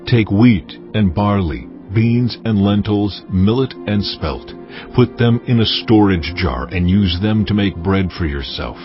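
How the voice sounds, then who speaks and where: A solemn, commanding deity in a scripture audio drama. The voice is very low, stern and deliberate, full of authority. Music plays softly beneath, and the sound is slightly dulled. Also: male voice, animated, muffled recording. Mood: solemn instruction. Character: God, solemn commanding deity instructing a prophet.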